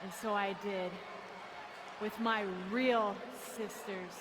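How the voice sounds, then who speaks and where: A woman's voice: medium pitch, steady tone, slow, a loud crowd, very noisy, lightly acted. A woman acting as a bitter, defiant wrestler turned villain in a game cutscene, speaking with dramatic deliberation. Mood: bitter, gloating.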